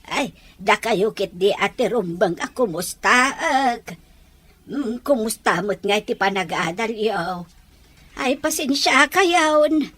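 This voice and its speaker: Kindly Ilocano grandmother, acted by a woman: slow, high voice with swooping intonation, fussing and sighing. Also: a bit bright, exaggerated voice. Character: kindly old Filipino grandmother welcoming her grandchildren. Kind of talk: Ilocano audio drama acting.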